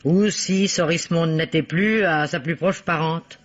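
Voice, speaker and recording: Spiteful, low-voiced murderess, old radio. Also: female voice, a little slow. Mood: cold confession. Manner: blunt, mocking, resentful. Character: spiteful seamstress murderess, brazenly confessing her crimes. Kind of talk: vintage French radio mystery acting. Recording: dull old radio drama recording.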